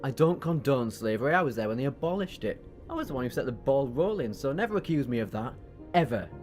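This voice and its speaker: A man plays a commanding, boastful rebel time-traveller in a sci-fi audio drama. His voice sits at a middle pitch, sure of itself, over faint music. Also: sing-song, quick pace, darkish tone, close to an everyday voice. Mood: stern. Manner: self-assured, boastful.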